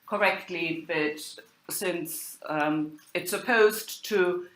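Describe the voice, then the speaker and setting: A woman giving an academic lecture as a university lecturer, speaking haltingly and thinking aloud. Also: on the low side, fairly bright tone. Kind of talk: academic lecture on medieval drama. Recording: roomy, echoing sound.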